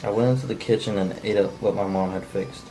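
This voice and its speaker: A man's voice: on the low side, steady tone, fairly quick, warm tone, natural voice, low-quality recording. A non-actor man cold-reading the part of an edgy, blunt, folksy killer in a script table read. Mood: matter-of-fact confession. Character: edgy, cold-blooded man confessing to killing. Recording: faint background noise.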